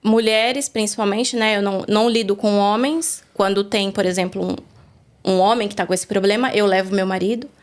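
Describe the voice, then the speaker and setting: A woman's voice: medium pitch, fairly even intonation, normal speed. A woman from Brazil, the guest on a faith interview, telling her story in an earnest, reflective way, recorded in a studio.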